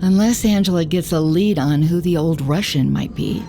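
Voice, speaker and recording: An actress playing a candid, gently teasing love interest in a detective radio drama, speaking in a sincere, conversational way. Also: female voice, low-pitched, moderate pace, slight background noise. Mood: apologetic.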